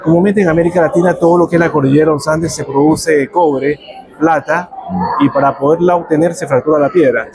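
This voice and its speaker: A man's voice: on the high side, steady tone, creaky. Male researcher over café chatter. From Ecuador. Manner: measured, explanatory, with fillers. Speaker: researcher, guest. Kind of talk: explanatory interview answers. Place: café during a power cut. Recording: crowd chatter behind.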